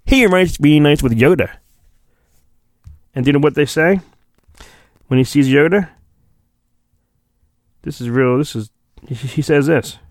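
A man's voice: fairly high pitch, a little slow, long pauses, dry recording, studio quality. A man hosting a podcast, giving a hammy, irreverent storybook reading with joking asides. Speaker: podcast host.

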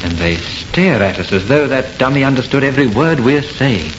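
An actor playing an uneasy, superstitious, gloomy friend in an old-time radio horror play, speaking in a grave, wary way. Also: male voice, medium pitch, lively intonation, moderate pace, music in the background, close to an everyday voice. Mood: uneasy wonder. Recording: muffled old radio recording with noise.

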